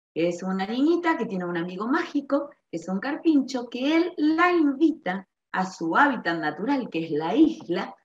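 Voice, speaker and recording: A middle-aged woman with an Argentine accent from around Rosario, informally explaining a school project as a librarian, with hesitant pauses and stretched words. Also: on the high side. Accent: Argentine, Rosario area. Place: small bare-walled room indoors. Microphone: computer microphone on a video call. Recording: dull, echoey webcam audio.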